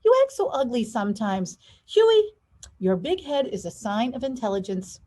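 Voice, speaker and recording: A woman's voice: fairly high pitch, very animated, moderate pace, dark tone. A woman voicing a scolding but encouraging mother in a storybook, firm and reassuring.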